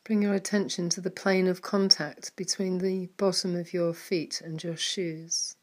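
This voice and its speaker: A woman leading a guided mindfulness meditation in a soft, calm manner, with some words trailing into a whisper. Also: on the low side, measured pace.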